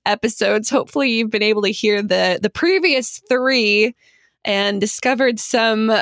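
Giggly lady podcast host, kinda high voice, close dry sound, just chatting. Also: normal speed, fairly bright tone, dry recording. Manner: giggly, playful. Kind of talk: lively casual group chat.

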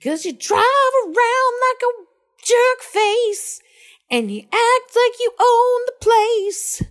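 Female comic singer, very high register, slow and widely varied melody. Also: lively intonation, a bit bright, soft background music. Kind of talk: comic musical song performance.

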